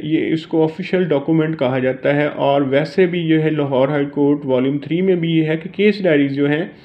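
A man with a Pakistani accent giving an informal lesson as a teacher, reading out and explaining in a halting way. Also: on the high side, dull audio. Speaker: law teacher.

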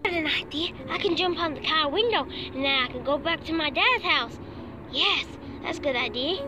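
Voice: high-pitched, lively intonation, moderate pace, over music. A puppeteer dramatically acting out several characters in an improvised puppet show.

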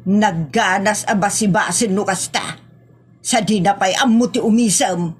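A woman playing a brisk, nagging village woman in a comedy drama, chiding and chatty with an Ilocano accent. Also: mid-range voice, husky. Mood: scolding.

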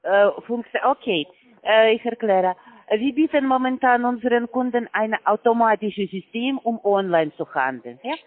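High-voiced man pitching over a phone line. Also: animated, no echo. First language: not German. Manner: halting broken German with many fillers. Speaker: call center salesman.

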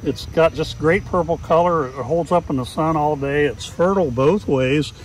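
A man's voice: a little high, normal speed, faint music behind, poor audio. An older man in his sixties from Ohio, joking and showing off his garden outdoors. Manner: joking, folksy. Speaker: garden owner. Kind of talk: casual interview.

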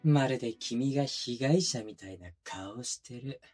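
A man plays a cruel twin detective in a binaural situation drama. He needles his suspect in a drawling, mocking tone at a middling male pitch. The voice sits close to the mic over background music. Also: a little slow, lightly acted. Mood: accusing, scornful. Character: sadistic male detective, one of twins, taunting a suspect. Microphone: close binaural microphone.

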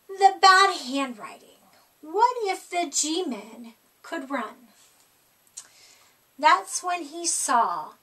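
Middle-aged female storyteller, slowish and very animated, expressive picture-book delivery. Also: high-pitched, far from the mic, rough recording. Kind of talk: expressive read-aloud of a children's picture book. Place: small indoor room with decorated wall.

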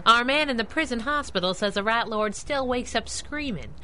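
Sassy, animated radio sidekick. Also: female voice, a little high, normal speed, slight background noise. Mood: brisk, amused. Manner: wisecracking, teasing. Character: sassy, tough young female crimefighting sidekick. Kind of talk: 1930s-style radio drama acting.

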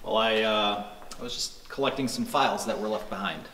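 A man's voice: fairly low pitch, brisk, pushed and bright. A man playing a secretive, evasive villain in a horror film, recorded in an echoing room. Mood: awkward, evasive. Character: evasive adult male villain, secretive child trafficker. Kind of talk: naturalistic horror film acting. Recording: echoey room, faint noise.

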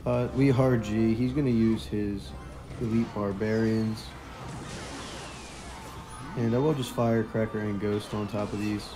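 Young male game commentator, hoarse from a lost voice, lowish pitch, laid-back, quiet chuckles, noisy recording. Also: measured pace, pauses often. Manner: relaxed, chuckles quietly while playing. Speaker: mobile game streamer. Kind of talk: casual gameplay commentary.

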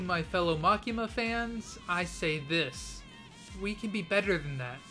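A man's voice: high-pitched, pushed and bright. A man giving scripted anime commentary in a mock-serious, playfully dramatic way full of puns, recorded close to the mic. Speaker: anime YouTuber.

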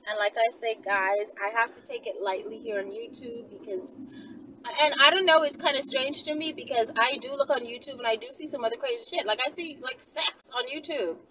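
A woman's voice: fairly high pitch, fairly quick, pushed and bright. A woman in her thirties chatting casually and unscripted to viewers, musing aloud in a rambling way with rhetorical questions. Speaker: vlogger, channel host. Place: inside a car by a street, daytime. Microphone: camera at arm's length. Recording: distant mic, noisy car interior.

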